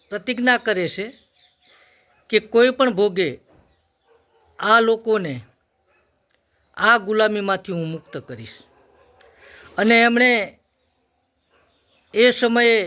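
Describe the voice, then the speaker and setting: Guy giving a formal speech, really high sharp voice, short measured phrases, stresses stuff hard. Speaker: speaker for a Buddhist vihara. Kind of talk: formal public address, historical oratory.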